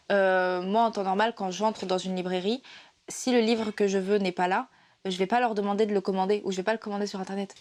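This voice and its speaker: A woman's voice: medium pitch, darkish tone. Chatty, hesitant woman vlogger. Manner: hesitant, many fillers and restarts; playful and emotional, laughs often. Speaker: literature video presenter. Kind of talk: casual explanatory vlog.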